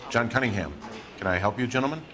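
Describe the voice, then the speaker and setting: An actor playing a guarded, world-weary businessman in a video game, speaking in a measured, matter-of-fact way. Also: mid-range voice, natural voice. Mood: polite, matter-of-fact. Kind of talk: video game cutscene acting. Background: background music.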